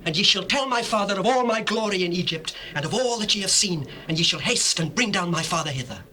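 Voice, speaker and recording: A tender, lordly governor of Egypt in a biblical audio drama. He declaims fervently in a high voice with sweeping intonation. It sounds like an old cassette with faint noise. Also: animated, quick pace, bright, forceful tone. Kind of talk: dramatized scripture, theatrical stage acting. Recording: noisy old cassette tape.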